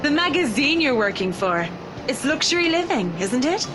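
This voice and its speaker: A poised female interior designer in a visual novel game. She talks in a composed, conversational way, with a fairly high and very varied pitch. Music runs underneath. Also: very animated, normal speed. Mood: poised, friendly. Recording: music behind the voice.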